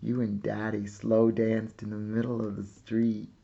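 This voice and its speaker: A man's voice: lowish voice, completely flat delivery, a little slow, creaky, mellow, muffled recording. A man reading a storybook as a proud, eager little girl who earnestly and carefully plays museum guide. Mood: fond, explaining.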